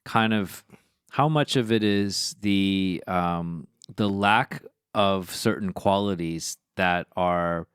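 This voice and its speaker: Deep, smooth-voiced podcast host. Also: male voice, slow, fairly bright tone, no room sound, studio quality. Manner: rambling, thinking aloud with many fillers. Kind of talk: casual podcast conversation.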